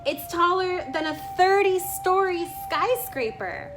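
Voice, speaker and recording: Slow-paced woman reading aloud over music. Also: very high-pitched. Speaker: reader reading a children's nonfiction book aloud. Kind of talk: read-aloud narration of a nature book.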